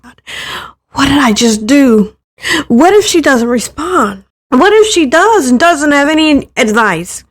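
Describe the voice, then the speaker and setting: Woman acting flustered, high-pitched anxious teenage girl. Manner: flustered, fretful questioning. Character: anxious, insecure eighteen-year-old girl, self-doubting and easily flustered.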